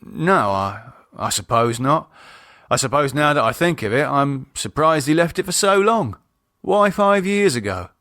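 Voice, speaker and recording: Guy reading as a wary sardonic man in an audiobook, kinda hesitant, mid pitch, no room sound. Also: expressive, a little slow, sharp tone, dry recording, clean studio sound. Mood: guarded. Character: uneasy, sardonic adult man, wary and reluctant. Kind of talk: audiobook character dialogue.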